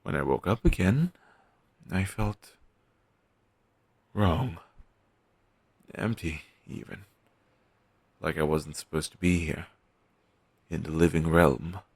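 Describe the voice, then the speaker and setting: Male actor as morose undead best friend, audio roleplay, slow halting delivery, deadpan, resigned, gravelly fry, close dry sound. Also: low-pitched, no echo, heavily acted. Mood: haunted, reflective. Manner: deadpan, halting, listless delivery with long pauses. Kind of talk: audio roleplay, intimate scripted monologue addressed to listener.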